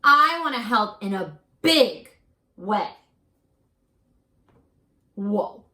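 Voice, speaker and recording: Bright, unhurried woman reading to children. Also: medium pitch. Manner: encouraging, expressive, talking directly to young children. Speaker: teacher reading a picture book to children at home. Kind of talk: children's read-aloud with chatty asides and questions. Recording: roomy echo.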